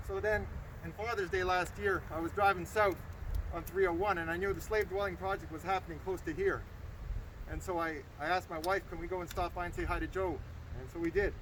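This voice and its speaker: A man's voice: high voice, a bit bright, a little hiss or hum, rough recording. A man from Estonia telling a grateful, earnest personal story in an outdoor speech. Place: outdoors by a river.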